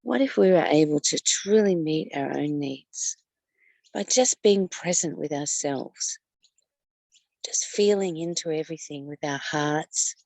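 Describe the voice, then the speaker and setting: A woman with a lowish voice reads spiritual teachings aloud and reflects on them. She speaks very slowly and deliberately, leaving pauses and repeating phrases. The sound is dry, with little room around her. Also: vocal fry, a little mellow, dry recording. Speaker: spiritual study teacher and reader. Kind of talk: reading a spiritual text aloud with personal commentary.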